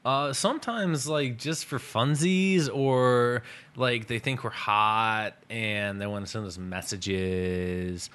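A man's voice: mid-range voice, slow pace, a bit bright, clear, resonant tone. A man co-hosting a casual podcast, blunt and profane, laughing often. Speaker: podcast co-host.

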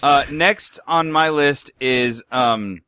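A man talks casually about music, hesitating and restarting often. His voice is bright and forward. The sound is dry and close. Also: medium pitch, takes it slowly, clean tone, no room sound. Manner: hesitant, many fillers and restarts.

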